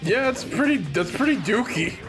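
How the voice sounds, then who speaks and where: Man, higher-pitched voice, casual gaming commentary, joking banter, clear background music. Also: very animated, moderate pace, poor audio. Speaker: let's play commentator. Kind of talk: casual joking banter over a video game.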